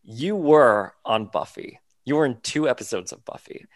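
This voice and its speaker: A podcast co-host asking gushing, excited questions of a guest. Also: medium pitch, normal speed, dry recording, studio quality. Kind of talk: enthusiastic podcast hosting.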